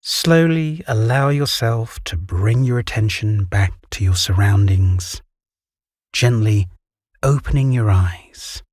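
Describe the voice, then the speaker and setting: Calm british guy doing a guided meditation, really slow and soft, close dry sound. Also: lowish voice, no room sound. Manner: calm, gentle, soothing, with measured pauses.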